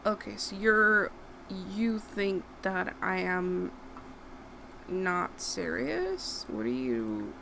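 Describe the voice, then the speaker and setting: A woman's voice: mid-range voice, big pitch swings, drawn-out words, creaky, pushed and bright. Young woman, chatty gameplay commentary, noisy background hiss. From Puerto Rico. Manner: chatty, talks to the game characters, bursts of outrage. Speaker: gaming YouTuber. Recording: noisy home recording.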